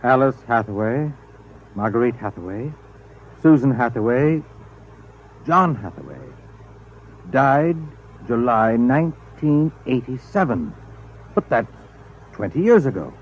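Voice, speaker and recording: A man's voice: medium pitch, sing-song, measured pace, long pauses, a little hiss or hum. A man acting in a 1950s radio drama as an earnest, rational expedition doctor, questioning, on a muffled old recording. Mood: astonished.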